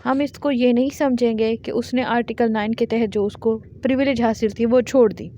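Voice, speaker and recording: A woman teaching law, reading each statute out slowly, word by word, and then explaining it in plain terms. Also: on the high side, fairly even intonation, dark tone, a little hiss or hum. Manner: reads English legal text slowly word by word, then explains in Urdu. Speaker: law lecturer.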